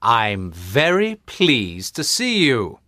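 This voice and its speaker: A man voicing a calm, composed male detective who explains things quietly in an audiobook. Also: mid-range voice, slow, no echo, voice pushed up, heavily acted. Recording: dry close studio sound.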